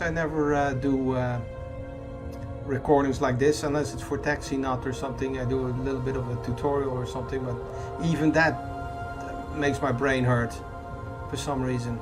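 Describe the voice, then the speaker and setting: A man casually commenting as he plays a video game, rambling and hesitant, often stopping to correct himself. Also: mid-range voice. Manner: rambling, hesitant, self-deprecating. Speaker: gaming YouTuber. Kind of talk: casual let's play commentary. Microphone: desktop mic over game audio. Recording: game music playing behind voice.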